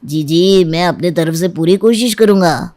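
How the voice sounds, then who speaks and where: Meddling male lawyer performed by a woman, smug, smooth-talking insinuation for an audio story. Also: lowish voice, moderate pace, a little mellow, close to an everyday voice. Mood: confident, reassuring. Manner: reassuring, insinuating. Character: smug, meddling male divorce lawyer egging on his client.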